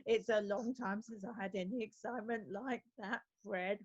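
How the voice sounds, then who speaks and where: A woman's voice: medium pitch, fairly even intonation, moderate pace, darkish tone, slightly rough, muffled recording. A woman playing a cheeky, gossipy older neighbour in an amateur comedy sketch.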